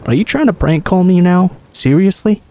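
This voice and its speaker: A man reads aloud as a protective older brother, irritated and clipped on a phone call. The voice sits a little higher than the reader's usual and stays mellow, with a dry, close sound. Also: expressive, moderate pace. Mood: annoyed. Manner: irritated, then alarmed and clipped.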